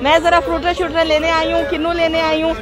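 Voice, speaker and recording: A woman's voice: extremely high pitch, completely flat delivery, fast talker. A Pakistani woman hosting a casual live market vlog, chatty and playful, switching into English phrases, her voice slightly muffled by a mask. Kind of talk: casual live vlog chat, greeting viewers and haggling. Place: open-air bazaar, outdoors. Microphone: handheld phone. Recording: busy outdoor market crowd behind.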